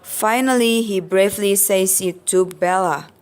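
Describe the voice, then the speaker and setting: A woman's voice: medium pitch, a little slow. A woman narrating an amateur radio play from a script.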